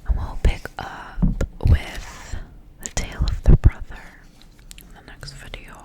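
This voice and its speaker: A woman whispering softly and breathily in an ASMR style, hushed, as she reads and chats close to the mic. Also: unhurried. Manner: hushed, repeats words softly. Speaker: ASMR host reading stories aloud. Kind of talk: whispered ASMR, story reading and casual rambling.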